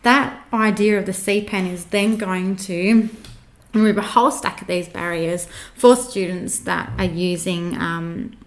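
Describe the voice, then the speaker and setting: A woman's voice: medium pitch, measured pace. A woman who is an educator, giving an informal talk on student learning tools. She speaks conversationally, with frequent fillers and restarts.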